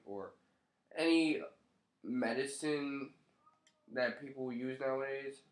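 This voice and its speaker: A young man with a congested, nasal voice, still getting over a cold, chats offhandedly into his webcam with plenty of swearing. He sits in his living room, and the camera's own mic picks up a roomy, echoing sound. His pitch sits in an ordinary middle range. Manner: loose, profane, offhand. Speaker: vlogger. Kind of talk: casual commentary to camera.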